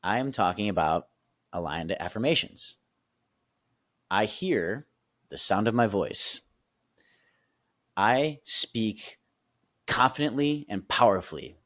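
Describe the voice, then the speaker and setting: A man's voice: lowish voice, lively intonation, slow, long pauses, a bit bright, dry recording. A man, a sales and performance coach on a podcast, explaining steps with energy and often checking in with "right?" and "okay?". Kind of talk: casual teaching explanation.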